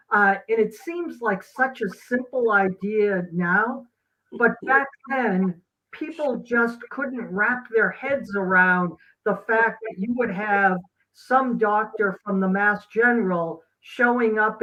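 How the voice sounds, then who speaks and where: A man speaking as a doctor and interview guest, explaining in a measured, halting way with pauses mid-thought. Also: high voice, muffled recording. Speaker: doctor and company co-founder, guest.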